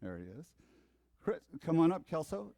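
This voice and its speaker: A man hosting an office team meeting, quickly reading out sponsor names and joking with the room. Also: a little high, big pitch swings, vocal fry, dark tone, dry recording. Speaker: meeting host. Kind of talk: upbeat office meeting emcee.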